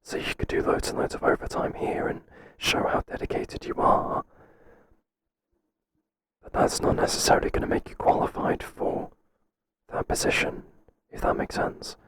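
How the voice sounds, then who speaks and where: A man acts out a kindly, unassertive boss in an intimate ASMR roleplay. He speaks in a breathy whisper, halting and hesitant, as if unsure how to answer. There is some faint noise behind the voice. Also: close to an everyday voice. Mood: hesitant, accommodating. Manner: hesitant, soft-spoken, halting. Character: mild, hesitant, kindly male workplace boss, flustered by flattery.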